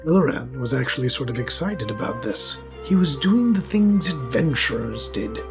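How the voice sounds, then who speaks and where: A man's voice: mid-range voice, big pitch swings, moderate pace, vocal fry. A man narrating a scripted story as a storyteller. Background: music.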